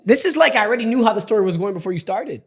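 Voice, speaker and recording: Expressive, blunt woman podcast co-host. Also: medium pitch, breathy edge. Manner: expressive, blunt, quick reactions. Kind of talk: casual podcast chat.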